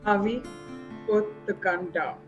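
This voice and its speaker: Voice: mid-range voice, measured pace, dull audio, natural voice. A performer playing a pleading police officer protecting his family in a table read of a film script. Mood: desperate pleading. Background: guitar music.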